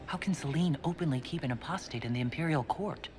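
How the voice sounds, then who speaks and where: A man's voice: a little high, quick pace, natural voice. A man voicing a male leader in a video game, asking plain, direct questions. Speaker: player character leader. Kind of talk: video game cutscene dialogue. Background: orchestral music.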